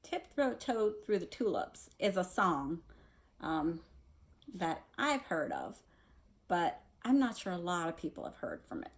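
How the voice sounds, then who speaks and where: A woman's voice: on the low side, wildly swooping pitch, a little slow. A woman reading a children's novel aloud like a teacher, expressively, with casual asides.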